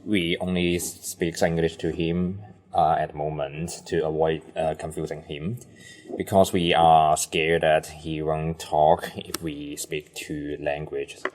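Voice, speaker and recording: A man speaks as an interviewed parent with halting, careful English. His voice is very deep. He talks slowly and pauses to find words. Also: flat delivery, a little mellow. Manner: hesitant, halting second-language English. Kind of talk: recorded interview.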